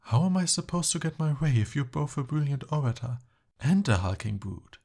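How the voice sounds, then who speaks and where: A man's voice: on the high side, very animated, brisk, vocal fry, a little mellow, full character voice. A man playing a pompous young human prince in an ASMR roleplay, speaking formally but flustered and pleading. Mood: indignant.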